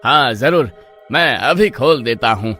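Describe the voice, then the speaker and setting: A man's voice: medium pitch, animated, measured pace, sharp tone, soft background music. A man playing an eager, trusting young husband from a village in a dramatised horror story, earnest and exclaiming. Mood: agreeable.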